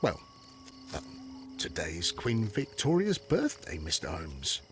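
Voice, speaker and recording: Lively-toned, dutiful English police constable. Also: male voice, sits low, slow, a little hiss or hum, full character voice. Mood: earnest, factual. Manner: matter-of-fact, reporting. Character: earnest, dutiful Victorian male police constable. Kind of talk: video game drama acting.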